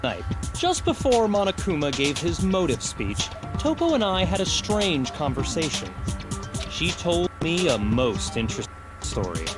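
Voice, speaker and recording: A cold, haughty young elite student from a dubbed anime game. His fairly high voice swoops with condescension and accusation, over clear background music. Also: huge pitch swings, measured pace. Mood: cold, condescending. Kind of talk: English anime game dub acting.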